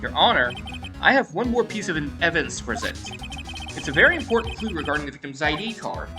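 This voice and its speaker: A woman voicing an earnest, forceful male defense attorney in a courtroom video game, arguing emphatically. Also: sits low, big pitch swings, fast, over music. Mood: determined.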